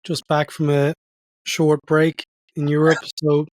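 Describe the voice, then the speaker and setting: A man's voice: fairly high pitch, monotone, takes it slowly, no room sound. Jokey male podcast host. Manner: jokey, playful asides. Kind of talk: scripted intro then casual interview chat.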